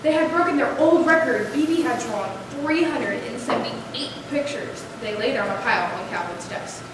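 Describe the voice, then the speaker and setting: A woman's voice: on the high side, expressive, normal speed. A young female narrator reading a scripted story aloud for a school readers' theater. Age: young adult or younger, school pupil. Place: large echoey room. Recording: echoey noisy room, far mic.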